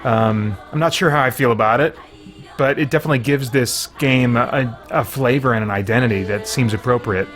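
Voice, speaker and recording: A man's voice: mid-range voice, a little fast, fairly bright tone, soft background music. Candid man reacting to a video game. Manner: candid, conversational, thinking aloud. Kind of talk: casual first-impressions gameplay commentary.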